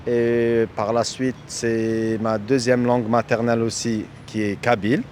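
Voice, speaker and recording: Man, native Arabic speaker, mid-pitched. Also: flat delivery, a little slow, a little mellow, a little hiss or hum.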